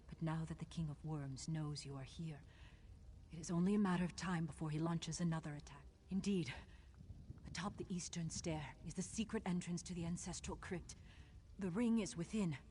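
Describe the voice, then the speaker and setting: Woman as old female game warrior, deep pitch, composed, matter-of-fact, faint noise. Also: moderate pace, warm tone, natural voice. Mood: resolute. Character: stoic, wise old warrior woman and sword teacher. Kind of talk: scripted fantasy game dialogue. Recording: faintly noisy game capture.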